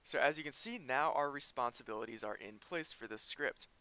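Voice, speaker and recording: Male coding tutor, clear bright voice, walks through code as he types. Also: mid-range voice, fairly quick. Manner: explains step by step while typing. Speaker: programming tutor. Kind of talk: screen-recorded coding tutorial.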